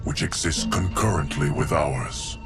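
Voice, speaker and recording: An actor playing a stoic, ancient and loyal advisor being in a video game, with formal, measured, precise speech. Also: male voice, very deep voice, lively intonation. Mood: grave, analytical. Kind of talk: cinematic video game voice acting. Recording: loud cinematic music behind.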